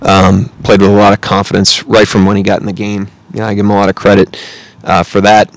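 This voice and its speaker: Low, flat-voiced coach at the mic. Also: male voice, slight background noise, poor audio. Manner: measured, analytical, frequent "you know" and "um". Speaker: head coach. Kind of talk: post-game press conference answers. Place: press conference room. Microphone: table mic close in front.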